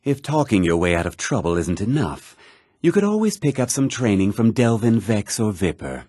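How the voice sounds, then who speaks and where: A man playing a self-assured, smooth-talking thief and merchant in a fantasy video game, with an expressive conversational delivery. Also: on the low side, moderate pace, no echo. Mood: self-assured, casual. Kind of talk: scripted fantasy game character dialogue.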